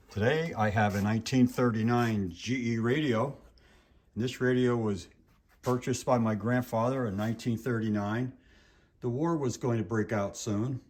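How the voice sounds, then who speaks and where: A man's voice: mid-range voice, a little mellow. An older man with an American accent giving an informal, folksy show-and-tell narration as a host, explaining things as he goes. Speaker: host of a vintage collectibles show.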